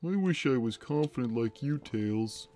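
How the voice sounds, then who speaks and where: A man voicing a big, gentle, timid cartoon cat who speaks simply and hesitantly while playing a game on a livestream. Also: mid-range voice, lively intonation, unhurried, no echo, close to an everyday voice. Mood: timid, unsure. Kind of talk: in-character gaming livestream chat. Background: game music.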